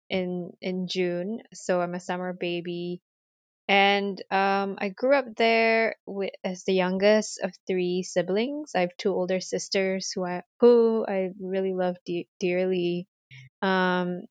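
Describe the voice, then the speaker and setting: A woman in her thirties from Malaysia tells her life story in a reflective, unhurried way, pausing often on ums. Her voice sits low and stays flat and even, and the sound is dry and slightly dull, like lo-fi call audio. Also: dry recording. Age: 30s. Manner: reflective, many ums, soft trailing phrases. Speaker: guest, university dean. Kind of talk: conversational interview, telling her life story.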